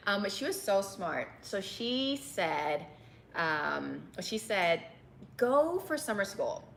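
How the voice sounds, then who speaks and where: A woman's voice: medium pitch, very animated, slow pace, creaky, a bit bright, a little hiss or hum. A woman from Texas raised in Bangkok, a restaurant owner telling her story in a candid interview, with many ums and easy laughs. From Texas and Bangkok, Thailand. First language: Thai.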